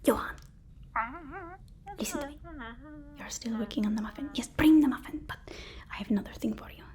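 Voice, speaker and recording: A woman whispering an ASMR roleplay as a deferential, fussy personal assistant to a supervillain, speaking haltingly and repeating her phrases. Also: on the high side, mellow. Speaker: ASMR performer.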